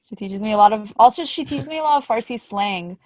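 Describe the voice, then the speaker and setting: A woman's voice: fairly high pitch, animated, fast, a little mellow, dry recording. A woman from New York with an American accent, chatting casually as a podcast co-host. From Great Neck, New York.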